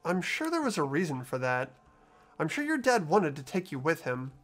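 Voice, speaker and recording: A man's voice: on the high side, huge pitch swings, moderate pace. A man voicing an earnest, sympathetic and unsure teenage schoolboy in a video game, with a hesitant delivery. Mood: startled, pleading. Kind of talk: amateur video game voice acting. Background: background music.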